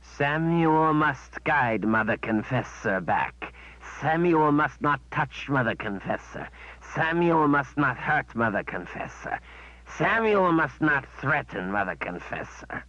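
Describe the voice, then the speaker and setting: A man's voice: fairly high pitch, big pitch swings, sharp tone, no echo, voice pushed up, exaggerated voice. A man doing a whining creature servant. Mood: dutiful. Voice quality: slow, broken simple speech, whining. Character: squat groveling male creature servant, whiny. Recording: dull, dry sound.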